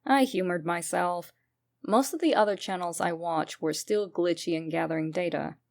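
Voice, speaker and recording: A woman narrating a first-person horror story in an expressive, sardonic and emphatic way. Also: fairly low pitch, moderate pace, vocal fry. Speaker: creepypasta narrator.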